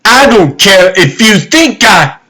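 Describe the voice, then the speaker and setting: A man's voice: sits high, very animated, slow, bright, forceful tone, pitched above the performer's own voice, heavily acted. A man playing an exasperated young government agent in a comic dub, sarcastic and indignant. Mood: outraged.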